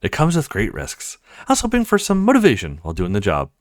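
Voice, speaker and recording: A man reading visual novel dialogue aloud as a let's play streamer, acting out the characters' lines in an animated way. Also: on the low side, fast, dry recording, studio quality.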